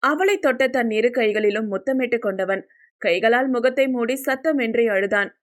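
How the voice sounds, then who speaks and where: A woman's voice: on the high side, normal speed. A woman reading a scripted audio novel as a dramatic storyteller.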